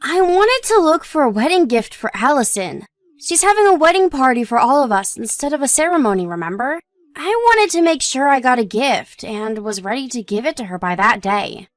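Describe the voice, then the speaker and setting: A woman playing a supportive, modest young wife in scripted story dialogue, speaking in a measured, reassuring way. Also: high voice, very animated, no echo. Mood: chatty, even-tempered.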